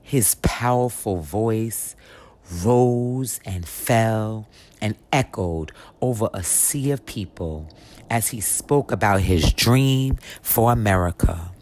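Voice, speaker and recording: A woman reading a history book for children aloud as expressive storytelling, with deliberate, emphatic, dramatic phrasing. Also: very deep voice.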